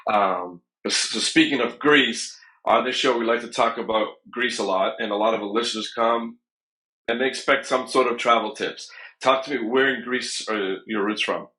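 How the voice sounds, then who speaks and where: A man hosting a podcast interview, prompting his guest with short questions and quick affirmations. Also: mid-range voice, sharp tone, rough recording. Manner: brief prompts, affirming replies. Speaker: podcast host.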